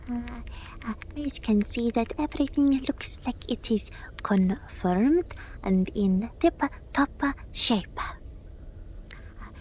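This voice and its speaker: Woman, slightly high voice, slow gentle pace, half-whispered ASMR roleplay, friendly alien medic, halting delivery. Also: a little hiss or hum. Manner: halting, gentle, deliberate, speaks of self in third person. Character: friendly eager female alien spaceport medic, speaks in third person.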